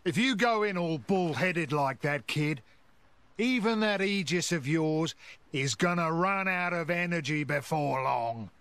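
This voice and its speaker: An actor playing a gruff, brash middle-aged mercenary boss in a video game, swaggering and taunting in folksy slang. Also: male voice, on the high side, lively intonation, a little slow, fairly bright tone, dry recording. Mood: hearty blunt. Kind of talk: video game cutscene voice acting. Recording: music behind the voice. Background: game soundtrack music.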